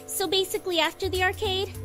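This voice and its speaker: A woman's voice: very high voice, fairly even intonation, fast talker, music in the background, pitched above the performer's own voice. A woman voicing a guarded, curt young woman friend in a visual novel. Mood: exasperated.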